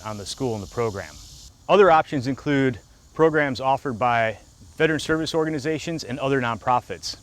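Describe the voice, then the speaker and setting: A man's voice: medium pitch, fairly bright tone. A man giving business advice in an informational, scripted narration style.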